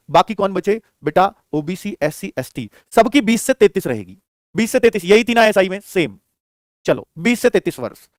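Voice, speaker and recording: A high-pitched man teaches like a coaching instructor. He talks fast in a dry, close recording, addressing students familiarly and posing questions that he answers himself. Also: no echo. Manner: explanatory, addresses listeners familiarly, asks and answers own questions. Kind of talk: lesson on job vacancies addressed to students.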